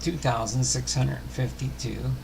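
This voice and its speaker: A man reading a scripted sports history narration aloud, haltingly, often stumbling and correcting himself. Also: mid-range voice, steady tone, creaky, background noise. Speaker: host of a sports history show. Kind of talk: scripted narration read aloud, baseball biography.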